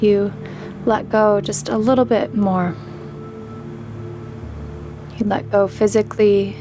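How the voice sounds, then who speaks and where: A woman guides a meditation in a calm, gentle manner. Her delivery is very slow and level, with little rise or fall in pitch, and spacious pauses between phrases. Music plays behind her voice. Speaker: meditation guide, yoga teacher. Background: background music.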